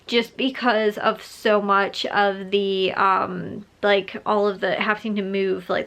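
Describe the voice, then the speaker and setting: A woman's voice: mid-range voice, gravelly, sharp tone. A young woman vlogger chatting casually, rambling with lots of fillers and restarts, a bit stuffed up. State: stuffed up nose. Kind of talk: casual vlog chat.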